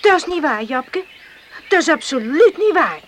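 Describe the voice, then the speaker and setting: An actress playing a kind, loyal and sensible young island woman in a radio play, earnest and gentle. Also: high voice, sing-song, normal speed, a little hiss or hum. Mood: earnest, defensive. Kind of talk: Dutch radio play acting.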